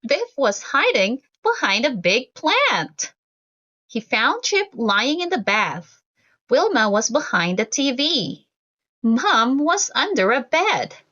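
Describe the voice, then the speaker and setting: A woman reading a children's story aloud from a script, in an expressive, deliberate way for young listeners. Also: sits high, lo-fi sound. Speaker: storybook reader.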